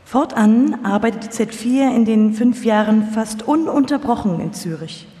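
A woman narrates a documentary script live, her voice slightly high yet soft-edged and mellow. It rings with long reverb in a large hall. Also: normal speed.

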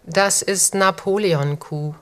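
Slow-speaking woman on a sample clip. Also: medium pitch. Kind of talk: short spoken sample recording.

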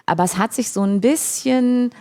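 A woman's voice: fairly high pitch, normal speed, warm tone. A woman in her forties from Brandenburg, talking through regional trends in a discursive way with fillers and restarts, checking in with "ja?". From Brandenburg, Germany. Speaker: expert guest. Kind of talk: conversational talk about regional development. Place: large room in a rural venue.

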